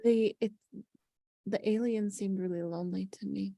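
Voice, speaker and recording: Woman, gravelly, mellow tone, hesitant, casual chat. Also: mid-range voice, steady tone, moderate pace, no room sound, clean studio sound. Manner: soft, hesitant, trailing off. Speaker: table read participant. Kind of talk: casual discussion of a script.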